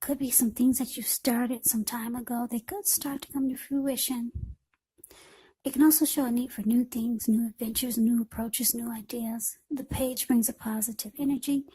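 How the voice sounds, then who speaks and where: A woman tarot reader with a husky, breathy voice, speaking informally to the viewer in short, unscripted phrases. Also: fairly high pitch, dark tone.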